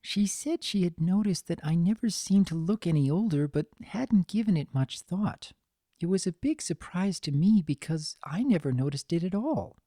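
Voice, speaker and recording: A woman voicing a gentle, anxious young female cartoon pony, earnest, soft and fretful. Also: lowish voice, animated, normal speed, no room sound, clean studio sound, voice pushed up. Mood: musing, uneasy.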